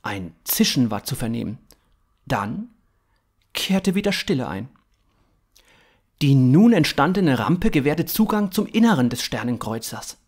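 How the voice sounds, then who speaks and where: A man in his thirties narrating scripted fiction in a dramatic, expressive way. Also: mid-range voice, unhurried, pauses often.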